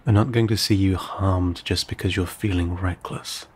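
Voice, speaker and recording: A man playing an ancient, courteous, guarded vampire in an intimate audio roleplay, speaking in formal, old-fashioned, measured phrases with some hesitation. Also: fairly even intonation, darkish tone, slight background noise, lightly acted. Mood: cautioning, stern. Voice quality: low, gravelly, breathy.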